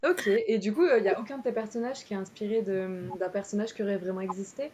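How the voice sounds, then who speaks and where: Woman interviewer, casual and friendly, medium pitch, keeps it informal. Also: fairly even intonation, fast, a little mellow. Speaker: interviewer and channel host.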